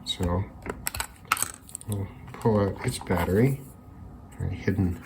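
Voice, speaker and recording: A man hosting an electronics teardown video, talking casually and unscripted, haltingly thinking aloud as he examines parts. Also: low voice, creaky, background noise. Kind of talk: casual unscripted hands-on demonstration talk.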